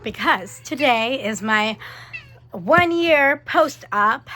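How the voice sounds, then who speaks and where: A woman vlogging to her camera in a chatty, animated way, with a cold and her voice starting to fade. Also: medium pitch, slight background noise. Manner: chatty, animated, quick asides. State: sick with a cold, voice fading. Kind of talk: casual chat to camera.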